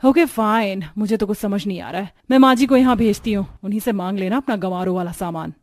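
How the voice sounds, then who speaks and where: A woman's voice: mid-range voice, fast, close to an everyday voice. A woman voicing a snobbish, irritable city sister-in-law in a cartoon, scornful and mixing English into her Hindi.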